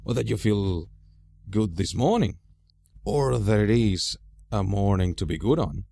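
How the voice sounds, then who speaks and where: A man voicing an old wandering wizard in a storybook, testy and probing, with a deliberate, questioning manner. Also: lowish voice, gravelly, warm tone, dry recording, clean studio sound.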